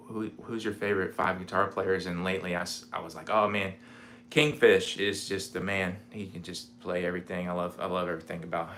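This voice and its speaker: A man in his forties chatting casually on a home livestream, rambling with lots of fillers, with a mellow voice. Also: low-pitched, a little hiss or hum. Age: 40s. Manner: rambling, relaxed, many fillers. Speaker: livestreaming guitarist. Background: guitar playing.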